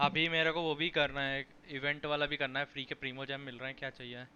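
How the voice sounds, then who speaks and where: A man's voice: mid-range voice, steady tone, very fast, sharp tone, smooth, highs cut off. A young adult man from India chatting casually as a gaming streamer, informal and mixing in English words. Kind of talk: casual gameplay chat.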